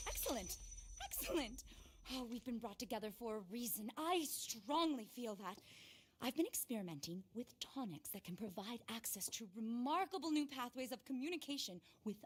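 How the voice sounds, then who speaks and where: Eccentric Old West nature lover, very animated, high voice, impassioned, well-spoken, game cutscene drama. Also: female voice, moderate pace, husky. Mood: earnest, excitable. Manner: fervent, articulate, theatrical. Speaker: field naturalist. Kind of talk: theatrical video game cutscene acting.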